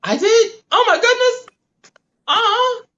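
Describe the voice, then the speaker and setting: A man's voice: very high voice, expressive, slow pace, bright, forceful tone, muffled recording. A man in his forties chatting casually on a panel, talkative and laughing easily while stumbling over his words. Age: 40s. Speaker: panelist, drag performer.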